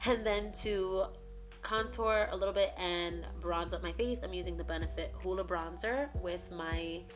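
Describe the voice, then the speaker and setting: Young woman, hoarse and raspy, casual chatty tutorial read, lowish voice, music loud behind. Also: normal speed. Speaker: beauty vlogger. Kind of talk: makeup tutorial voice-over. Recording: voice-over with loud background music.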